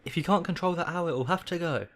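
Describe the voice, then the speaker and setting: A man's voice: medium pitch, animated, fairly quick, pushed and bright. A man voicing a furious, bullying middle-aged uncle from a storybook, roaring and snarling his words. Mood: outraged. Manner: roaring, snarling, thundering delivery.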